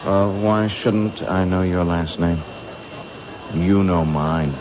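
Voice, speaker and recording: An actor playing a coolly menacing killer of about thirty in an old radio drama, deliberate in delivery. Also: male voice, deep voice. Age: 30s. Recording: muffled, noisy old radio broadcast.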